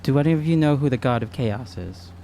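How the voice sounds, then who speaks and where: A man's voice: mid-range voice, expressive, a little fast, creaky, dark tone. A man playing a tabletop role-playing game, talking and asking questions casually in character. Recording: faint hum, slight background noise.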